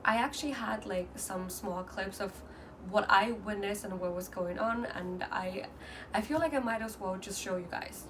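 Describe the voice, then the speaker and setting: A woman's voice: medium pitch, music in the background. Distant woman, earnest vlogger. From mainland China. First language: Mandarin. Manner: earnest, halting, with frequent restarts. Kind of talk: casual personal vlog. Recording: distant mic with clear background noise.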